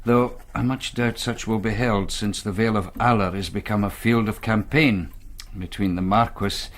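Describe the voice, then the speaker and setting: An actor playing a troubled, bookish young Presbyterian minister in a period radio drama, speaking in formal, scholarly, archaic phrases. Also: male voice, on the low side, steady tone, moderate pace, natural voice. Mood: weary, reflective.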